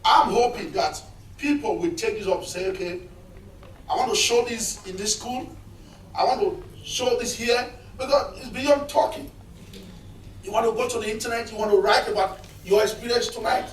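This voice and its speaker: A man's voice: high voice, moderate pace, a little hiss or hum. A man on a film panel, passionately appealing to the audience for support. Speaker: filmmaker on the panel. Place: cinema auditorium. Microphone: point-and-shoot camera across the room. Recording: echoey hall, distant camera mic.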